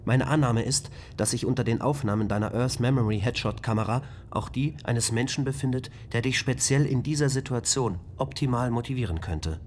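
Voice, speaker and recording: A courteous spaceship computer , voiced by a man, talks in a measured, formal, logical way. His voice sits at a middling pitch for a man, with a faint hiss behind it. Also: a little mellow, close to an everyday voice. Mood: even. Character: calm polite male ship computer AI. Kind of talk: radio drama acting.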